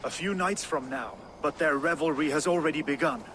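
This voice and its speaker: An actor playing an earnest, resolute warrior ally in a video game, speaking formally. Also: male voice, a little high, brisk, fairly bright tone, slight background noise, natural voice. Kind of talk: dramatic video game acting.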